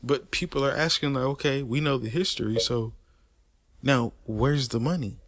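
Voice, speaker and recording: A man talks through a long, heated opinion rant at an average pitch. He repeats and restarts words as he pushes his points and leans on street slang and swearing. The recording is dry and close, with no room sound. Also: normal speed, no room sound. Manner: animated, emphatic and repetitive, with frequent restarts and stutters. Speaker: host of a personal commentary channel.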